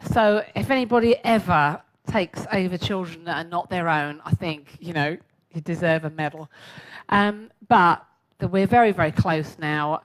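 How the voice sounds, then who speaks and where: A woman's voice: on the low side, rough recording. A woman in her sixties with a London accent gives a chatty, self-mocking talk to a live audience and asks them questions. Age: 60s. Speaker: guest speaker.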